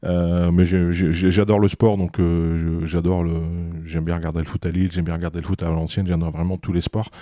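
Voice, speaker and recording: A man's voice: sits low, little pitch movement, brisk, few pauses, mellow, no room sound, clean studio sound. A man in his fifties from northern France, a business owner chatting as a podcast guest, talking with many fillers and restarts. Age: 50s. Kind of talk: casual interview talk.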